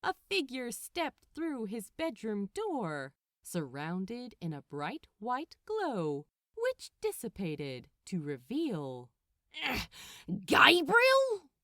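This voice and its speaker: A woman reading a story aloud as narrator, in an expressive scripted storytelling style. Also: mid-range voice, slow, dry recording, studio quality. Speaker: fan fiction narrator.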